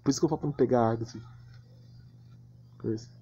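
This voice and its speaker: A man's voice: mid-range voice, fairly even intonation, quick pace, dark tone, husky, faint music behind. A Brazilian man giving casual, slangy commentary on gameplay. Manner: slangy, informal. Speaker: gaming channel host. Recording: muffled lo-fi voice recording.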